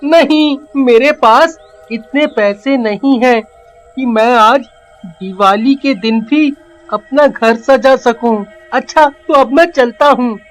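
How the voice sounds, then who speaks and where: A woman voicing a cheeky, plucky poor young village boy in a cartoon, with earnest, drawn-out delivery. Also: high voice, soft background music. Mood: kind, wistful. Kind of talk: Hindi cartoon voice acting.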